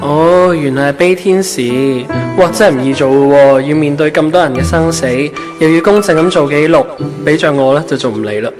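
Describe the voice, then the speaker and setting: A man's voice: on the high side, moderate pace, few pauses, lightly acted. A man playing a kindly, easygoing ancient saint of love in a Hong Kong Cantonese radio drama. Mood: gentle, chatty. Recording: background music under the voice.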